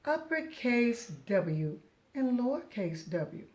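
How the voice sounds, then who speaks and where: Slow-paced woman teaching young children. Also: a little high, sing-song, darkish tone. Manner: encouraging, patient, step-by-step. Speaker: preschool teacher. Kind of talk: children's lesson, asking and answering questions.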